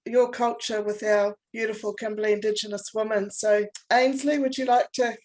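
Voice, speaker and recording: A woman's voice: mid-range voice, fairly even intonation, moderate pace, a little mellow. A woman with a New Zealand accent, the club manager, chatting with guests on a video call with many ums and restarts. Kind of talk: warm online yarn with guests.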